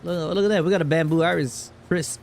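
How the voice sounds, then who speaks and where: A man's voice: fairly high pitch, fairly even intonation, fairly quick, gravelly. A man streaming a game, giving casual live reactions in a laid-back, slangy, sweary way. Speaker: gaming streamer. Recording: game music behind the voice.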